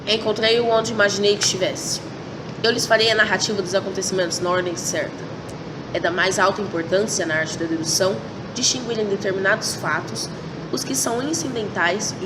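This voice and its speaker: A woman playing a sharp, commanding male detective in an amateur school radio drama, laying out his deductions methodically. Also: medium pitch, close to an everyday voice. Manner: lecturing, methodical explanation. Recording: distant mic with loud noise.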